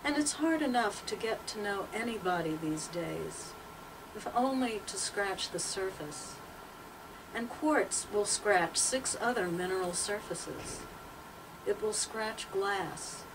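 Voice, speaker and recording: Slow, measured older woman, distantly recorded. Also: mid-range voice, lively intonation. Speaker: poet and teacher. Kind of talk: interview talk and poetry reading aloud. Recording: distant mic, clearly noisy background.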